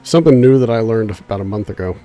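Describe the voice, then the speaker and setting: A man's voice: on the low side, lively intonation, quick pace, dark tone. A man chatting casually on a gaming livestream, with a congested, nasal sound and a laid-back, rambling way of talking. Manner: chatty, laid-back, thinks aloud with fillers. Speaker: streamer.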